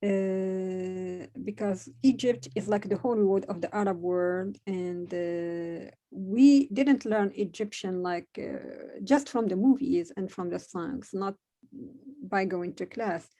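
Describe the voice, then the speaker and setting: A woman from Morocco whose first language is Moroccan Arabic, speaking English with a Moroccan accent. She answers interview questions in a hesitant, casual way, with frequent pauses and fillers. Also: mid-range voice, dark tone. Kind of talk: conversational interview.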